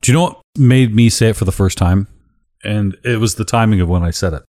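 A man hosting a casual podcast chat, with a deep voice and a joking, blunt way of talking that drops casual swearing. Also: a little fast, darkish tone. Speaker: podcast host.

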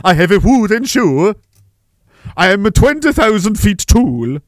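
A man voicing a giant, friendly Dutch man with a mock Dutch accent, speaking slowly and simply. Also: very animated, no echo, clean studio sound. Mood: booming friendly. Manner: slow, booming and simple.

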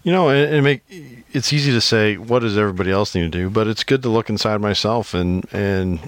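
A man's voice: fairly low pitch, fairly quick, no room sound. A male podcast host talking casually and thoughtfully, meandering with fillers and repeats. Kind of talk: casual conversational interview.

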